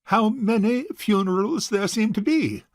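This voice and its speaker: An actor playing a fussy, miserly rich old bachelor uncle in a comic farce, speaking in a pompous, hesitant, formal way. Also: male voice, sits high, expressive, a little slow, no echo, studio quality. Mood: pompous, awkward. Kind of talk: comic stage farce acting.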